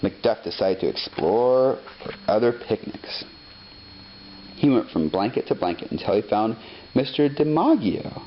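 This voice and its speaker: A man narrating a children's story expressively, reading deliberately phrase by phrase. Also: mid-range voice, sing-song. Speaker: storybook reader. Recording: dull lo-fi sound, faint noise.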